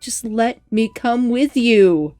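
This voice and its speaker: A woman's voice: a little high, slow, darkish tone, dry recording, clean studio sound. A woman playing a worried, pleading young assistant newspaper editor in an audio drama, speaking earnestly and insistently. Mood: alarmed, insistent. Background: music bed.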